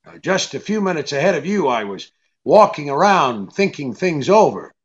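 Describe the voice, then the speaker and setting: An actor playing a wary, hard-boiled private detective, curt and sardonic, in 1940s radio drama style. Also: male voice, on the high side, very animated, moderate pace, dull audio. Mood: defensive, wry.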